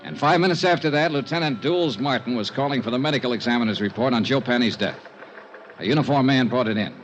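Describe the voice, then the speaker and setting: An American actor playing a dogged, hard-boiled insurance investigator in a 1950s radio drama, narrating and talking in a clipped, terse way. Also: male voice, mid-range voice, quick pace, highs cut off, soft background music, close to an everyday voice. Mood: matter-of-fact narration. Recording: vintage 1950s radio recording.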